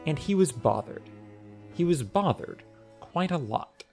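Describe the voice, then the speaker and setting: A man narrating a scripted story audiobook in a wry, expressive storytelling manner. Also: on the high side, measured pace, vocal fry, soft background music.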